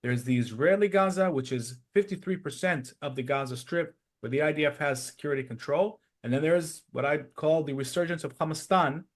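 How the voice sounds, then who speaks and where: A man hosting an interview podcast, explaining current affairs in a measured way with some hesitant pauses. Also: a little high. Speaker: podcast host. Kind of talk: interview and news commentary.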